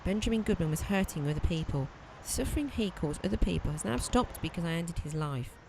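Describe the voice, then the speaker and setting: A performer playing a murderous maid in an amateur audio drama, intense and conflicted, speaking in a halting, self-justifying way. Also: mid-range voice, expressive, vocal fry, noisy background. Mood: cold, defiant.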